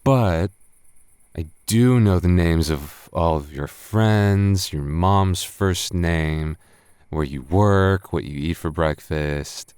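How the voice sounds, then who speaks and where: A very low, unhurried young male voice acted by a man plays a tipsy roommate in an intimate roleplay audio. He stammers and rambles, teasing yet flustered and secretly smitten. The sound is close and dry. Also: darkish tone, smooth, no echo. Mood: defensive, flustered. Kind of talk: boyfriend roleplay audio acting.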